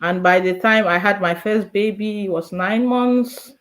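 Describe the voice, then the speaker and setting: A woman's voice: medium pitch, measured pace. A woman in her thirties with a Cameroonian accent, answering interview questions in a conversational, storytelling way. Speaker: guest, immigrant in politics.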